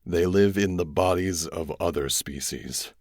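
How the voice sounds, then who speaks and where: A man gives voice to a wounded alien close to death, speaking in a slow, solemn, measured way. The voice sits very deep and stays dry, with no room around it. Each word comes out heavy and grave. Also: a little mellow, no echo. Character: dying gentle alien, grave and slow-spoken.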